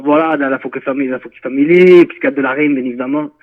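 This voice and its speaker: A man's voice: medium pitch, steady tone, fast. A man with a Marseille French accent, a rapper chatting informally as an interview guest, repeating himself and using slangy tags, over a phone-like line. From Marseille, France. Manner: informal, repetitive, peppered with southern slang tags. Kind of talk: casual interview chat. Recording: tinny, narrow phone-like line.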